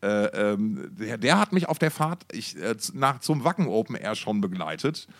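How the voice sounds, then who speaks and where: A man's voice: on the low side, big pitch swings, moderate pace. A man co-hosting a podcast in casual, slangy, enthusiastic music talk, often repeating words as he searches for phrasing. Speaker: podcast co-host.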